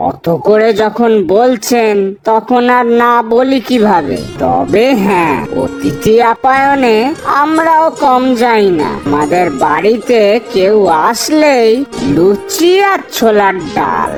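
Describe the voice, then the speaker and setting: Woman voicing a lively, genial Ghoti father-in-law. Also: on the high side, slow. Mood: polite. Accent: West Bengali (Ghoti). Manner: polite, conciliatory. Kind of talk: cartoon dialogue. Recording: background music throughout.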